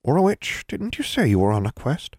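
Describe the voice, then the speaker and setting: A man's voice: a little high, very animated, fairly quick, darkish tone, no echo, clean studio sound, voice pushed up. A man voicing a crafty, proud old male hill elf in an audiobook, with formal, old-fashioned phrasing. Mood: formal, wary. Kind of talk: audiobook character voice.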